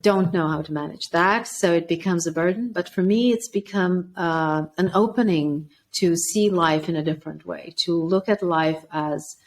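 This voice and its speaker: Swedish-raised woman guest, lowish voice, thoughtful, kinda halting storytelling. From Sweden. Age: 40s or older. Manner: earnest, thoughtful, with frequent restarts. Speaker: podcast guest, author. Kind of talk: reflective personal storytelling.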